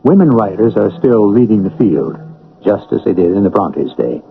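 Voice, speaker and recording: A man hosting a radio drama, giving scripted narration like a measured, urbane storyteller. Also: fairly low pitch, sing-song, husky. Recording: narrow-band old radio broadcast. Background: music.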